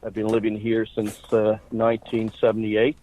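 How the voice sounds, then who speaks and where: A man in his sixties, from Ireland, telling his life story as an interview guest in a measured way. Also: fairly low pitch, completely flat delivery, mellow. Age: 60s. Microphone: phone. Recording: tinny phone line.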